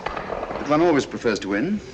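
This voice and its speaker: An actor playing a shrewd, composed detective in a 1940s film, speaking with a British accent in a measured, courteous way. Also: male voice, mid-range voice, sing-song, lightly acted. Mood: cool, guarded. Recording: noisy old 1940s film soundtrack.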